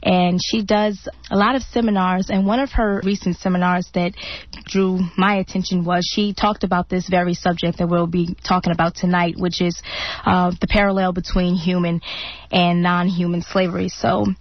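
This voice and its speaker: A woman's voice: on the low side, level tone, normal speed, no echo. A woman hosts a radio talk show in a chatty, friendly way and laughs easily. The studio sound is dry and slightly dull. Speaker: radio host.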